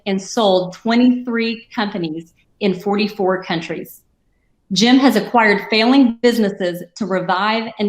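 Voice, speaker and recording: A woman announcer smoothly reading a scripted introduction of the speaker. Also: medium pitch. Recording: roomy echo.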